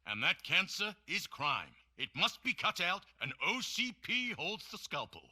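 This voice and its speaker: An actor playing a stern, ruthless corporate chief in a video game, delivering a forceful, declamatory public statement. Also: male voice, high-pitched, big pitch swings, unhurried, full character voice. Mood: stern, resolute. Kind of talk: rhetorical prepared speech in a video game.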